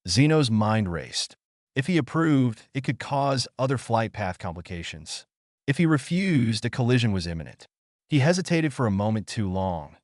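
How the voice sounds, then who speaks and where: A man's voice: fairly low pitch, dry recording, clean studio sound. A man reading a scripted story in a dramatic, suspenseful narrator's style.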